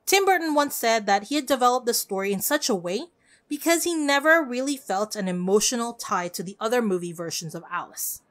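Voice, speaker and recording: A woman podcast host giving conversational scripted film commentary in an expressive, effusive way. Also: a little high, brisk.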